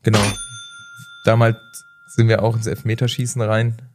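A man from Saarland, co-hosting a podcast in casual chat; easygoing, laughing often, and pausing on fillers as he talks. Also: lowish voice, level tone, noisy background. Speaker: podcast co-host, former footballer.

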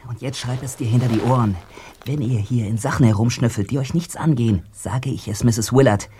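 A man's voice: medium pitch, brisk, dark tone, breathy edge. An actor playing a sneering, threatening young man in an audio drama, scornful in manner. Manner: menacing, scornful. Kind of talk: German audio drama acting.

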